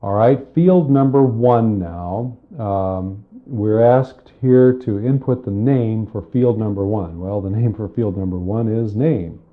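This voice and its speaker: A man giving a methodical, step-by-step spoken computer lesson, explaining with pauses, recorded on cassette tape. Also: lowish voice, narrow, tinny audio. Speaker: software tutorial instructor.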